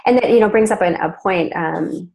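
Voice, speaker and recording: Woman with a low creaky voice. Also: normal speed, lo-fi sound. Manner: chatty, frequent ums and self-corrections, laughs often. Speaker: webcast host. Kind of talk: casual group discussion.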